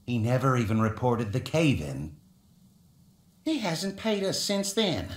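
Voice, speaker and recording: A pompous, swooping game miner. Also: male voice, mid-range voice, big pitch swings, unhurried, reverberant. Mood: indignant, pompous. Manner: pompous, mocking, condescending. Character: smug, arrogant miner boss, greedy and condescending. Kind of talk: video game character acting.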